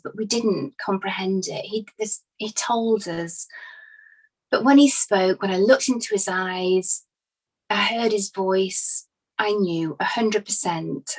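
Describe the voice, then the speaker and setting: A woman playing an earnest, grieving follower giving hesitant, conversational testimony with conviction in a courtroom drama, her voice slightly husky. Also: medium pitch, poor audio. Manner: hesitant, conversational, emphatic about her certainty. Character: Mary, earnest grieving female follower of Jesus testifying as witness.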